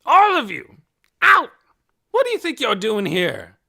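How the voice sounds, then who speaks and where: A man's voice: a little high, wildly swooping pitch, measured pace, vocal fry, pushed and bright, pitched above the performer's own voice, full character voice. A reader voicing a tall, stooped, pompous man who speaks formally and with indignation in an audiobook. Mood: furious. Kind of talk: audiobook character voice.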